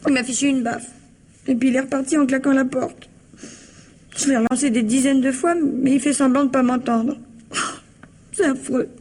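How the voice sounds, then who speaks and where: An actress playing a naive, indignant, chatty young housemaid in a French radio mystery play, with colloquial, gushing, plaintive delivery. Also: female voice, sits high, fairly even intonation, normal speed, long pauses, a little mellow. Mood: distraught.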